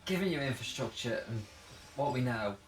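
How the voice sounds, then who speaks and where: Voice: medium pitch, lively intonation, normal speed, slight background noise, close to an everyday voice. An actor playing a blunt, inquisitive companion in a sci-fi audio drama, suspicious and analytical. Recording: slightly distant from the mic.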